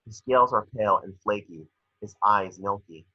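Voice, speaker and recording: A man's voice: on the low side, moderate pace, dry recording, lo-fi sound. A man reading stage directions as narrator for a screenplay table read.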